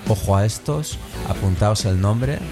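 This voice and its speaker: A middle-aged man with a Cantabrian Spanish accent, hosting a casual radio chat in a relaxed, slangy way. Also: fairly low pitch, unhurried. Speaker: radio host. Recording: music playing under the voice.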